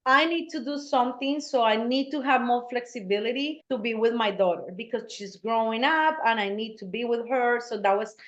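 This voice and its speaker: A woman's voice: on the high side, normal speed, hardly any pauses. A woman from Colombia, talking earnestly and laughing easily as an interview guest. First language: Spanish. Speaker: guest, real estate agent. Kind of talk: casual interview talk. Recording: echoey, dull-sounding room.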